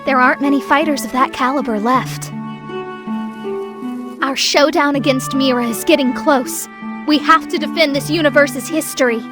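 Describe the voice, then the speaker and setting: A woman's voice: sits high, normal speed, natural voice. A woman playing a small, youthful goddess in a video game, spirited, earnest and determined. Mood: serious. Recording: loud game music underneath.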